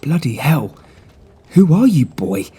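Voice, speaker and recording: Pompous London merchant, older and irritable, shrill and huffy, pitched high, a man doing the voice. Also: sing-song, normal speed, warm tone, breathy edge. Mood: shocked.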